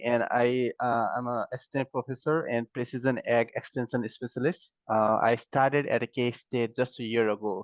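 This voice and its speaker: A man in his thirties from Nepal, explaining his research as a guest in a podcast interview, halting and full of fillers. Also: medium pitch, no echo. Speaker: guest, agronomy professor. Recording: dry, dull lo-fi sound.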